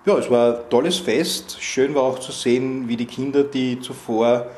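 A middle-aged man hosting community news, speaking haltingly with many fillers. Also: mid-range voice, fairly even intonation, a little mellow. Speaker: news host and interviewer. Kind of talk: community news presenting and street interviews. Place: outdoor festival at night.

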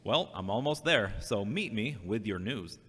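Man as wry reflective loner, formal phrasing, animated pitch, hall echo. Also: normal speed, husky. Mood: wry, guarded. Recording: echoey hall-like reverb.

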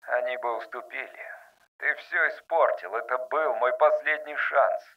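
A man's voice: mid-range voice, normal speed, recorded from a distance. A muffled communicator voice of a bitter, proud outcast man, played by a male audiobook narrator. He speaks curtly and sullenly, with resentment. The radio-like filter dulls the sound. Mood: bitter, resigned.

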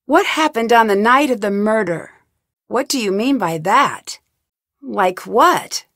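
A woman's voice: fairly high pitch, expressive, takes it slowly, fairly bright tone, dry recording, studio quality, full character voice. A woman voicing a young woman detective in game voice lines, asking earnest, inquisitive questions in a polite tone. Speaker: voice actor. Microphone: studio mic, dry.

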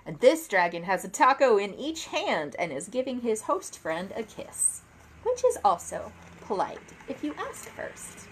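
A woman in her thirties reads a picture book to children in a fairly high voice. Her pace is unhurried and her intonation swings widely, with theatrical emphasis on key words. It is an expressive home storytime delivery. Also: slight background noise, poor audio. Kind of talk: animated read-aloud to children. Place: indoor room at home, seated at a table.

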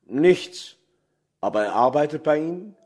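An actor playing an insistent, slightly awkward male police detective with a husky voice in a German crime radio play. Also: a little high, animated, measured pace, background noise. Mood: businesslike.

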